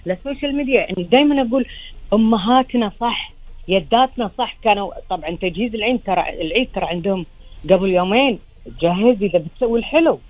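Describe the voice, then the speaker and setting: A woman's voice: medium pitch, expressive, racing, no room sound, narrow, tinny audio. A woman with a Gulf Arabic accent and a slightly tired, strained voice, chatting as a guest chef and explaining things with rhetorical questions. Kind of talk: chatty interview reminiscence.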